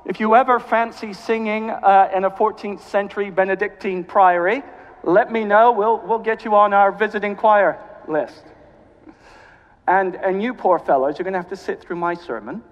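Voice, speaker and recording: A man from South Carolina with a mid-Atlantic accent, a cathedral canon giving a guest sermon with dry humor; he is jet lagged. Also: sits high. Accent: mid-Atlantic, American Southern with British. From South Carolina, USA. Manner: genial, dry humor, measured. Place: church. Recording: dull sound, long reverb of a church.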